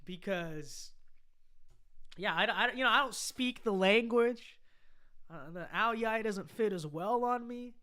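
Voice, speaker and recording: A young American man hosting a casual livestream, chatting and reading out viewer comments in a halting way that often repeats phrases. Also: high voice, bright, forceful tone, clean tone. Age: young adult. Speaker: livestream host.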